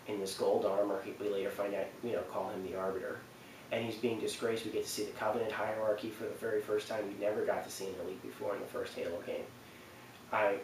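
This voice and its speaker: Lowish-voiced man in an echoey room. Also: darkish tone. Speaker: video countdown host. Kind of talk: casual unscripted commentary. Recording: echoey room, distant mic, faint noise.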